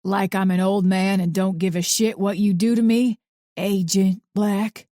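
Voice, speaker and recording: A woman voicing a bitter, mean old man in his eighties who sneers and talks in rough country grammar. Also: fairly low pitch, normal speed, warm tone, no room sound, clean studio sound, close to an everyday voice.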